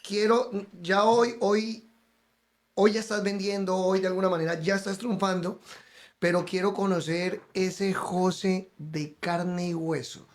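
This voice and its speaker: A man's voice: high voice, little pitch movement, unhurried. A male podcast host interviewing a guest with emphatic, coaching energy, often repeating the guest's name.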